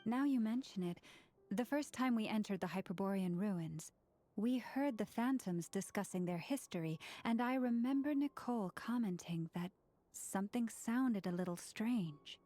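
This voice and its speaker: A woman voicing a gentle, reflective young woman in a video game, speaking calmly. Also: medium pitch, normal speed, a little hiss or hum, natural voice. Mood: thoughtful.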